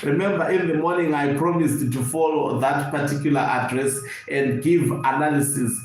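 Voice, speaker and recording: Echoey, higher-voiced Zimbabwean man commenting. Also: fairly even intonation, moderate pace, soft background music. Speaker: online news channel host and political analyst. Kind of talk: live political commentary. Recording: very echoey room, faint background noise.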